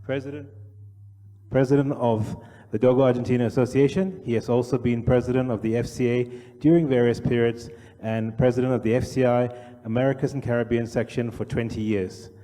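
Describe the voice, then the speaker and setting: A man reading out a formal speaker introduction in a measured, list-like delivery, his voice mellow and dark. A long hall reverb trails behind every phrase. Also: medium pitch, steady tone. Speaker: conference presenter.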